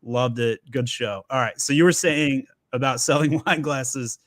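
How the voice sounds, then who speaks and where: A man's voice: medium pitch, measured pace, dry recording, studio quality. A man hosting a live Q&A, chatty and jokey, who goes off on tangents and laughs easily. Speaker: host.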